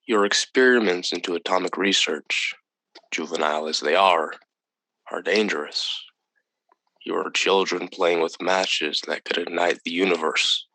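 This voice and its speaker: Superior alien visitor, really deep voice, calm n formal, dry radio drama sound. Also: male voice, no echo, full character voice, low-quality recording. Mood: grave, superior. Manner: formal, measured, condescending. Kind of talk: old-time radio drama acting.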